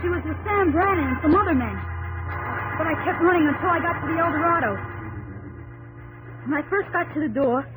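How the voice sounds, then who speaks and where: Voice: very high voice, a little fast, long pauses, poor audio. An actor playing an earnest, eager young frontier son who narrates and speaks in a 1950s radio drama. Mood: breathless narration. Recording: old radio broadcast with music.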